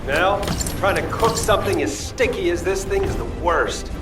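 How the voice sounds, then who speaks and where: A man's voice: sits high, normal speed, lightly acted. A man playing a cocky, wry young soldier with a taste for cooking in a video game. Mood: exasperated. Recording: roomy, distant, loud music and gunfire.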